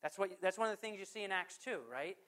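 A man preaching a sermon as pastor. He puts questions to the congregation, slows down to stress scripture, and swings from forceful to hushed. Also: sits high. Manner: emphatic preaching with rhetorical questions.

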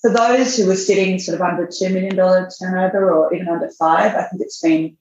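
A woman, a magazine editor answering interview questions in a measured way, thinking aloud as she goes. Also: lowish voice, fairly even intonation, darkish tone, slight background noise. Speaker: fashion magazine editor, guest. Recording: roomy, dull lo-fi sound.

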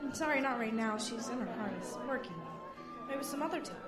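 Woman acting a snarky nervous college girl, offhand, radio drama, clear music behind her. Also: a little high, expressive, brisk, lightly acted. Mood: polite. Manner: sarcastic, offhand. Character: blind young female college student, sardonic and nervous.

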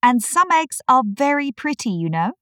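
A man voicing a polite, gentle, curious young girl in a storybook, earnest and questioning. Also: extremely high pitch, big pitch swings, moderate pace, no room sound, studio quality, far from the mic, voice pushed up, full character voice. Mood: gently placating. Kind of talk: storybook character reading.

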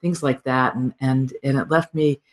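A woman hosting a radio interview show, chatty and warm, laughing often and thinking aloud. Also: very deep voice, fairly even intonation, normal speed. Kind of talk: casual interview chat.

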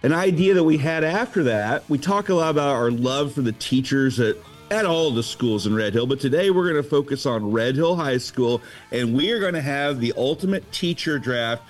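A man's voice: medium pitch, lively intonation, normal speed, few pauses. A man hosting a casual podcast, explaining the rules in an upbeat, explanatory way while music plays behind him. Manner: upbeat and explanatory, walks listeners through the rules. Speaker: podcast host running a draft lottery. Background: music playing behind him.

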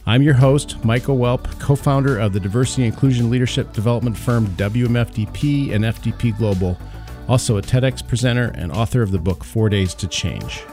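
A man's voice: mid-range voice, brisk, darkish tone, soft background music. A man hosting a podcast, opening the show and inviting guests to speak in a loose, conversational way. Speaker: podcast host. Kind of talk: podcast hosting, intro and moderating.

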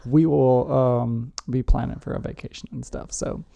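A woman's voice: extremely low pitch, steady tone, normal speed, vocal fry, warm tone. A woman co-hosting a podcast, chatting casually and teasing her partner with jokes. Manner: joking, teasing. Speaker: podcast co-host. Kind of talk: casual chat.

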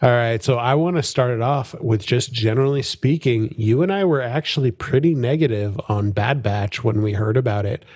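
A young man co-hosting a podcast in casual, upbeat chat, laughing often and tossing in quick asides. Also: mid-range voice, a little mellow, dry recording. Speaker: podcast co-host.

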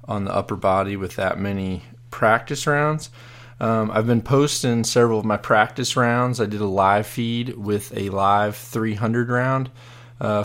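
Brief: hoarse, fairly low-voiced male podcast host, loose rambling chat full of fillers. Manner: rambling, many fillers and restarts. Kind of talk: casual live podcast chat.

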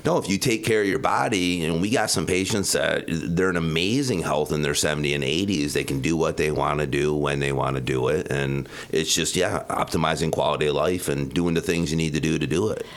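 A man's voice: a bass voice, a little fast, hardly any pauses. A man speaking as a guest health expert in an interview, explaining things in an informal, conversational way. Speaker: guest health expert, functional medicine director.

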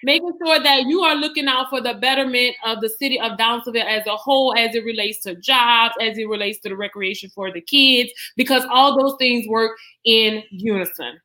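A woman's voice: on the high side, a little fast, hardly any pauses, bright, forceful tone. A woman moderating a candidate forum, asking questions casually with lots of fillers and summing up answers. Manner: casual, many "like" fillers, checks understanding. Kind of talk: interview questions.

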